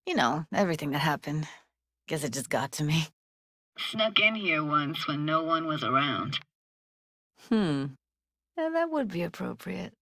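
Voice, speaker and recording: An actress playing a tough, sardonic but warm-hearted young woman in a video game, talking in casual, clipped slang. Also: low-pitched, big pitch swings, a little slow, pauses often, dry recording, clean studio sound, heavily acted. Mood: subdued. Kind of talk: naturalistic video game voice acting.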